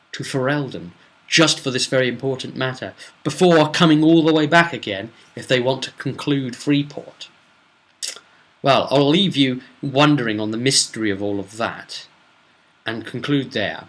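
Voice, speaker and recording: A man's voice: medium pitch, fairly bright tone, rough recording. A man hosting as a game master, casually recapping a tabletop session in a discursive way with loosely strung asides. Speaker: game master and video host.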